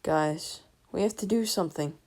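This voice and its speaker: A woman's voice: fairly low pitch, normal speed, a little mellow. A woman voicing an earnest, helpful teenage girl detective in a storybook. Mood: determined.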